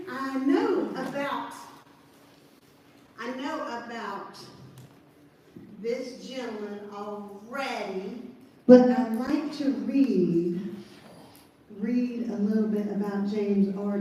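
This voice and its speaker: A woman with a deep Southern accent giving a chatty, animated talk at a live event. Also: slight background noise. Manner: chatty and animated, laughs at herself. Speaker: event speaker. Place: large event hall. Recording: echoey hall, distant mic.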